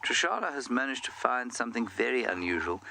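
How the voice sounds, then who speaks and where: A man guiding a live game drive, calmly explaining the animals to viewers from outdoors in the bush. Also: medium pitch, fast, creaky, a little hiss or hum. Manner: calm, explanatory, speaks directly to viewers. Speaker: safari guide.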